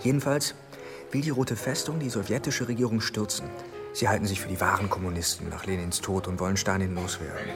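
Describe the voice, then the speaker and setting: Cynical newspaperman, low pitch, wry colloquial delivery, radio drama, clear music bed. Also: steady tone, brisk. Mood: wry, confiding. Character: cynical, sardonic male big-city newspaper journalist. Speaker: actor. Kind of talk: naturalistic German radio drama dialogue.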